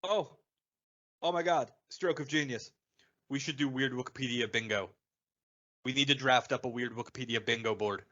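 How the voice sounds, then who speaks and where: Wry man, bright forceful podcast banter. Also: medium pitch, moderate pace, pauses often, muffled recording. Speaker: podcast co-host. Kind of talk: casual chat.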